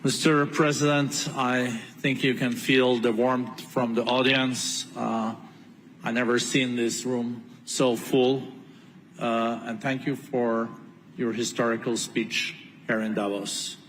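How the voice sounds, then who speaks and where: Male moderator, halting, filler-heavy delivery; reverberant conference hall. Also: medium pitch, little pitch movement, a bit bright, slight background noise. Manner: halting, lots of filler pauses. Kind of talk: onstage interview. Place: large conference hall with audience. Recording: long hall reverb, faint ambience.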